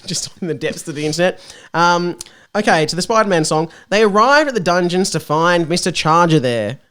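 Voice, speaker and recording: A man's voice: high-pitched, animated, normal speed, fairly bright tone, smooth. An Australian man guesting on a comedy podcast, reading fan fiction aloud and bantering, giggly, sarcastic and sweary.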